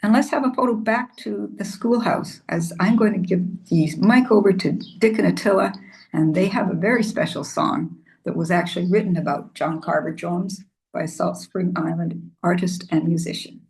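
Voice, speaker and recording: A woman's voice: on the low side, moderate pace, vocal fry, a little mellow, low-quality recording. A woman giving an informal spoken presentation as a presenter at a gathering.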